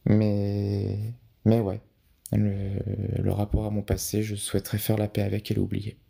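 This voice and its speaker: Young male guest, low gravelly voice, mellow tone, halting and unpolished delivery. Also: completely flat delivery. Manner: hesitant, many restarts and fillers. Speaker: podcast guest, photographer. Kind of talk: casual interview answers.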